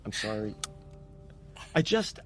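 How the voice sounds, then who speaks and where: A man's voice: fairly low pitch, sing-song, takes it slowly, soft background music. A middle-aged actor playing a blunt, exasperated father in an audio drama.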